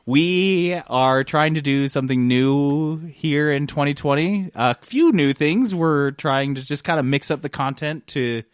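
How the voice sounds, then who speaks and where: A man's voice: fairly high pitch, a little slow, few pauses, clean tone, dry recording. Close, dry-sounding man chatting casually. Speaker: podcast co-host. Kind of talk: casual gaming chat.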